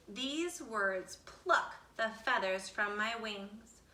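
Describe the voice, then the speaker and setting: Echoey, bright-voiced woman reading a children's story. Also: medium pitch, a little hiss or hum. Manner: deliberate, dramatic phrasing for children. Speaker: storybook reader. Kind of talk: expressive children's picture book read-aloud, with asides explaining to listeners. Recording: roomy echo, distant from the mic.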